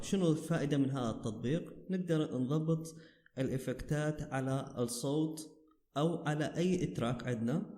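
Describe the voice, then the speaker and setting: A man's voice: fairly high pitch, mellow. A man with an Iraqi Arabic accent narrating a casual app tutorial as its host. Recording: roomy, echoing voice recording.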